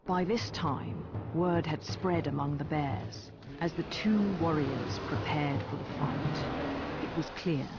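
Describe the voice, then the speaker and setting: A man narrating a fantasy adventure game as a solemn, measured storyteller. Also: on the high side, heavy background noise. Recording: dull sound under clear music. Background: music.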